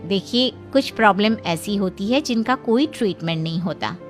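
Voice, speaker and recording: A woman playing a matter-of-fact doctor in a cartoon, explaining in a measured way. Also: mid-range voice, animated, soft background music, lightly acted. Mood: grave, apologetic. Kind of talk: Hindi cartoon acting.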